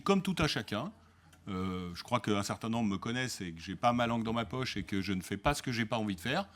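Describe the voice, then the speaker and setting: A man's voice: mid-range voice, a bit bright. Guy arguing back in a council meeting, sarcastic, indignant, keeps restarting his sentences. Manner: emphatic, with hesitant restarts. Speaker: local elected official. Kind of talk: council debate speech.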